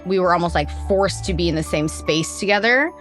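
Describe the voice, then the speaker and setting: A woman's voice: fairly low pitch, expressive, a little fast, a bit bright. A woman recalling a personal story in a casual, conversational way. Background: music behind the voice.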